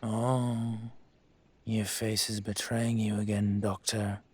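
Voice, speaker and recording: A man playing a suave, menacing dragon mafia boss in an audio roleplay, measured and condescending with a teasing edge. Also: on the low side, little pitch movement, slightly rough. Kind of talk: scripted audio roleplay, intimate.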